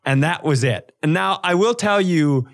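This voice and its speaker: A man co-hosting a comedy podcast, telling animated, casual stories and acting out the dialogue. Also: on the high side, normal speed, creaky.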